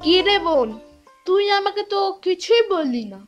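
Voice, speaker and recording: A woman voicing a timid, excitable little village boy in a children's cartoon, nervous and easily rattled. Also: extremely high pitch, normal speed, faint music behind. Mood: flustered. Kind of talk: children's moral-story cartoon acting.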